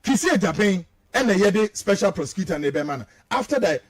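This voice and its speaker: Male Ghanaian radio commentator, very high-pitched and widely swinging delivery, combative and indignant, hammering points through repetition. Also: very animated, fairly quick. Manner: combative, emphatic, indignant, repeats words for emphasis. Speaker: radio show guest commentator. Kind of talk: heated political commentary mixing English and Twi.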